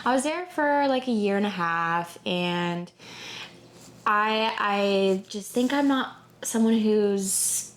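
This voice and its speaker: Brief: young woman, co-host, lively candid chat, average pitch. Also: slow, slight background noise. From Houston, Texas. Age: young adult. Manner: animated, candid, casual swearing. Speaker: podcast co-host. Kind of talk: casual chat.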